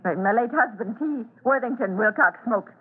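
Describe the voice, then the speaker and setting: Husky, eccentric old widow, tinny radio. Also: female voice, on the high side, expressive. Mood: blunt, reminiscing. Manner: abrupt, halting, muttering asides. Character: eccentric, blunt old widow in a spooky mansion. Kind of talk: old-time radio drama acting. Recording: tinny, narrow-band old radio recording.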